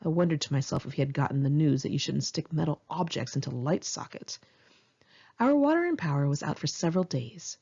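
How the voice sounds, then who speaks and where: An American woman with a lowish, rough-edged voice narrates her own story. Her delivery is candid and dramatic, swinging freely in pitch like someone telling friends a tale. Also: lively intonation, fairly quick, warm tone, muffled recording. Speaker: author reading own memoir. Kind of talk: first-person audiobook narration.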